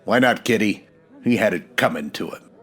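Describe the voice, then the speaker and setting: A man's voice: fairly low pitch, lively intonation, moderate pace, fairly bright tone, slightly rough. A man playing an upright, plain-spoken Old West marshal in a radio western, earnest and folksy. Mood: calm, earnest.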